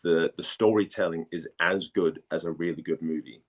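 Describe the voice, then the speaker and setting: Very deep-voiced man, halting delivery. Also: fairly even intonation. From Denmark. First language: Danish. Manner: halting, searching for words, repeats phrases. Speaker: interview guest, voice actor. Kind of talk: casual interview chat.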